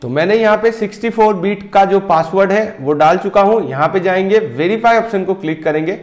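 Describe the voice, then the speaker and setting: Man explaining software steps, echoey room. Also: sits high, fairly quick. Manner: steady step-by-step explaining, addresses viewers directly. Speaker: tech tutorial host. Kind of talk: software walkthrough tutorial. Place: indoor room, screen-recording overlay.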